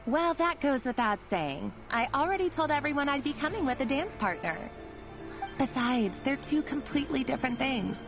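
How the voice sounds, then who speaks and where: A woman's voice: sits high, expressive, moderate pace. A woman voicing a tender, playful young sweetheart in a video game, coaxing and affectionate. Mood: playful, teasing. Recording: slightly dull game audio with music.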